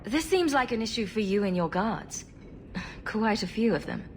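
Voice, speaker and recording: A woman playing a calm, diplomatic adventurer heroine in a video game, level and inquiring in manner. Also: medium pitch, normal speed, slight background noise. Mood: calm, questioning.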